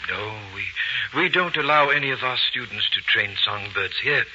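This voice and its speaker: An actor playing a kindly, dignified priest, courteous and a little hesitant, in a 1940s radio drama. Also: male voice, medium pitch, expressive, normal speed, sharp tone. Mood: gently firm. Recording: old muffled hissy radio broadcast.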